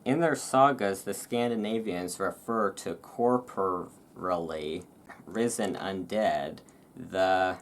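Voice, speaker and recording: A host reading aloud in a relaxed ASMR style, with informal, self-deprecating asides and rain and thunder behind. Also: sits low, slow. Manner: informal, self-deprecating, stumbles over foreign words. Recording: clear background noise of rain and thunderstorm. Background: rain and thunderstorm ambience.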